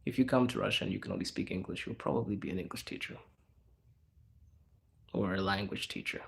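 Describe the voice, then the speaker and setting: Young guy livestreaming in public, talking low and quiet, bit of gravel in his voice. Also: brisk. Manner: speaking quietly in an inside voice, laid back. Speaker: livestream host answering chat. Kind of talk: casual livestream chat. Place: out in public in a city, people around.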